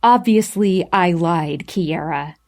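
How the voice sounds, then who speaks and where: A brooding young man voiced by a woman narrator in an audiobook romance. He is defiant and bitter, with curt, cutting replies delivered at a slow pace. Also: fairly low pitch, steady tone, vocal fry, darkish tone. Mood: angry, bitter.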